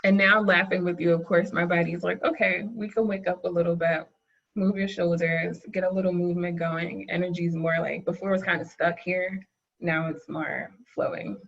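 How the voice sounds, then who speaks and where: A woman co-teaching a webinar, chatting casually over a video call, chatty and quick to laugh. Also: lowish voice, flat delivery, creaky, dull audio. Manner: chatty, laughs often, says "like" a lot. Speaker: course co-teacher.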